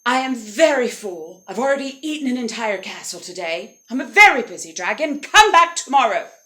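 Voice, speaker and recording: A woman storyteller voicing a big, boastful male dragon with a pompous, drawn-out delivery. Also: a little high, big pitch swings, bright, forceful tone. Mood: pompous, dismissive. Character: big, boastful, self-important male dragon, easily flattered. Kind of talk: animated oral storytelling.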